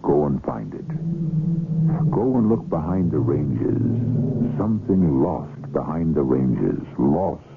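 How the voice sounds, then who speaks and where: A man hosting and narrating a radio drama in a solemn, measured, theatrical style. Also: rumbling low, animated, vocal fry, slight background noise. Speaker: host and narrator. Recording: narrow old radio recording. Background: dramatic music.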